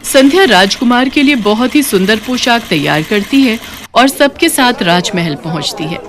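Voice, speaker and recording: A woman narrating a scripted animated moral story in an expressive storytelling manner. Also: fairly high pitch, normal speed.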